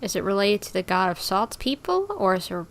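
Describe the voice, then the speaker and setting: A woman's voice: mid-range voice, big pitch swings, a little fast, fairly bright tone. A woman streamer giving casual, chatty live commentary on a game, with game ambience and some noise behind her. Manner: chatty, reacting aloud to the game.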